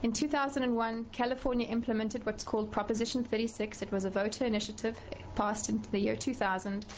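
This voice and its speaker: A middle-aged woman with a South African accent, a professor testifying before a committee in a measured way, with frequent fillers and restarts. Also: fairly high pitch, fairly even intonation, slight background noise, poor audio. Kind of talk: formal testimony.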